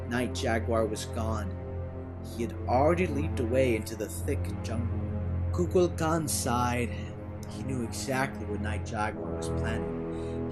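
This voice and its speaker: A man reading a storybook aloud as a dramatic, theatrical storyteller who moves between hushed and loud delivery. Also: a little high. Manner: theatrical, swinging from hushed to shouting. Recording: orchestral music behind the voice. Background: orchestral music.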